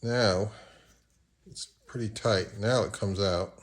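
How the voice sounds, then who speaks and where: A man narrating a casual hands-on how-to, unhurried and thinking aloud as he works. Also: lowish voice, steady tone. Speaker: repair tutorial presenter.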